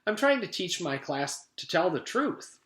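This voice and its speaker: A man's voice: sits high, sing-song, measured pace, a bit bright, voice pushed up, heavily acted. A man voicing a strict but cheerful woman teacher addressing her class. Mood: teacherly. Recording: echoey room.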